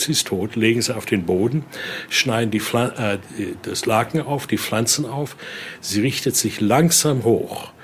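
An older man explains and tells stories like a tour guide, speaking spontaneously and often adding little questioning tags. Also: on the low side. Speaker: museum guide and interviewee. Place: indoor exhibition room. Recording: clearly noisy room ambience.

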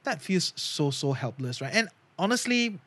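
A man's voice: on the high side, sing-song, moderate pace, bright, forceful tone. A man from Singapore hosting a solo podcast, talking earnestly and casually, often tagging his sentences with "right?" and "you know". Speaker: podcast host.

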